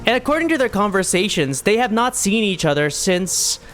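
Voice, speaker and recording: A man's voice: high-pitched, sing-song, moderate pace, fairly bright tone, soft background music. A man giving casual, playful commentary as he plays a video game, often repeating his phrases. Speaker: gaming streamer playing and reacting.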